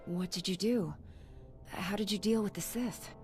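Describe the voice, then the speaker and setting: A woman's voice: mid-range voice, unhurried, darkish tone, natural voice. A woman playing a composed, dutiful Jedi Knight commander in a video game, speaking in a level, direct and earnest way. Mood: concerned. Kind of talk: video game cutscene voice acting. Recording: clear music behind the voice.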